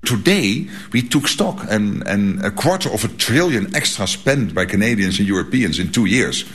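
A man giving an official statement in a news clip, firm and declarative. His voice is low and husky. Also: expressive, normal speed, a bit bright.

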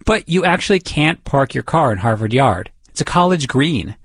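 A man's voice: medium pitch, sing-song, moderate pace, husky, no echo, studio quality. A man from the Boston area with an American accent, narrating a scripted audio feature as a reporter. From Boston area, United States.

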